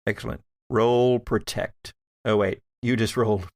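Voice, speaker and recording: A man narrates a tabletop horror game as its game master. His mid-range voice has a crackly fry to it, and he speaks at an unhurried pace in a very dry, close sound. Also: lowish voice, steady tone, dry recording.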